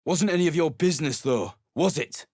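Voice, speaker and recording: A middle-aged man voicing a surly, weary male mercenary adventurer in a video game, talking in a gruff, blunt and sarcastic way. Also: on the high side, brisk, a little mellow, no room sound, clean studio sound. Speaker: game character.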